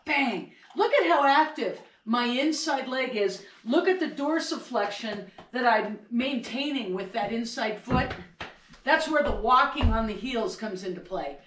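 Middle-aged woman ski instructor, upbeat and demonstrative, slightly high voice, far mic in an echoey kitchen. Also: animated, unhurried, a bit bright, slight background noise. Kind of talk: casual home video lesson. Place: home kitchen. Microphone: far camera.

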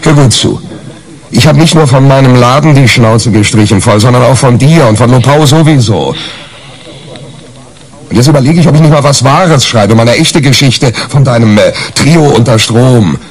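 An actor playing a brash, hungover reporter in a 1980s radio crime drama, colloquial, blustery and hesitating. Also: male voice, medium pitch, normal speed, natural voice, low-quality recording. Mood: angry, taunting. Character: brash, hungover, cynical male reporter, scheming and combative. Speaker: lead actor and narrator in a crime radio play.

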